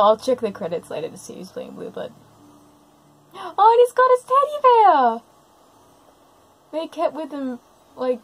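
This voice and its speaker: A woman's voice: a little high, a little hiss or hum, low-quality recording. A woman giving live, unscripted reaction commentary, exclaiming and gushing as she narrates what is happening. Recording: music playing behind the commentary.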